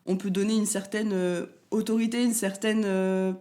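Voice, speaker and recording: A young woman chats as an interview guest at a middling pitch. She rambles a little and leans on fillers and restarts, calling herself talkative. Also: fairly even intonation, moderate pace, mellow. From France, Paris. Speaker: guest, content creator. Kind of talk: casual interview talk.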